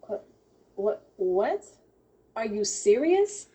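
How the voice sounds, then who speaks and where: A woman's voice: mid-range voice, very animated, very slow, mellow, pitched above the performer's own voice. A woman playing a distressed young male college athlete, pleading and defensive, in an audio drama table read. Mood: incredulous. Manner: pleading, incredulous.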